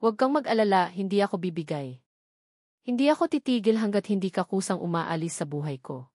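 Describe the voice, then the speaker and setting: A woman's voice: mid-range voice, lively intonation, fairly quick, darkish tone, no room sound, studio quality, natural voice. A woman voicing a spoiled, bitter young wife, haughty and taunting, in an audio drama.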